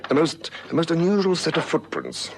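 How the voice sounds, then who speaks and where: A methodical police lieutenant with lively, swinging intonation. He muses in deliberate, clipped phrases with quiet authority. The old radio drama recording is faintly noisy. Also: a little high. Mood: musing, businesslike.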